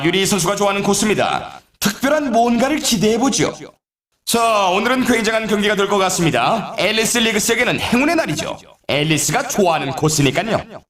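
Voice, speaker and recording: A man voicing a lively, upbeat snowboard race announcer in a video game, giving showy, hyped sportscaster commentary. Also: sits high, reverberant. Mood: hyped, dramatic. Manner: animated, showy sportscaster delivery. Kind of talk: excited scripted race commentary.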